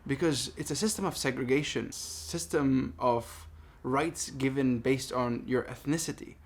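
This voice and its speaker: Man, thirties, from Palestine, personal video response, earnest delivery, deliberate phrasing, emphatic, medium pitch. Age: 30s. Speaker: vlogger making a response video. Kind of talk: personal monologue addressed to camera.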